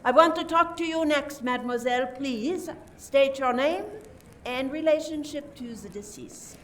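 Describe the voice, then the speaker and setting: A woman playing a suspicious, politely condescending French police inspector in an amateur radio play, deliberate and theatrical. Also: high voice, a bit bright. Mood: probing. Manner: deliberate, theatrical, repeats words for emphasis. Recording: distant mic, faint noise, room ambience.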